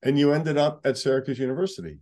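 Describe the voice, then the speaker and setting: A man interviewing a guest over a video call, friendly and halting, with many restarts. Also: medium pitch, lively intonation, a little mellow. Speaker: interviewer, associate editor. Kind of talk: casual interview conversation.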